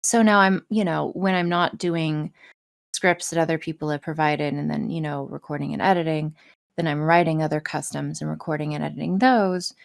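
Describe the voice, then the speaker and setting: Woman, fairly low pitch, casual podcast guest, candid, profane, enthusiastic. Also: steady tone, moderate pace, creaky. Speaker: podcast guest, audio script writer and performer.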